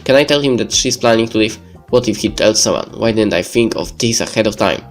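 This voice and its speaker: A man's voice: lowish voice, expressive, fairly quick, faint music behind. A man reading visual novel narration aloud as a let's player. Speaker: video game let's player.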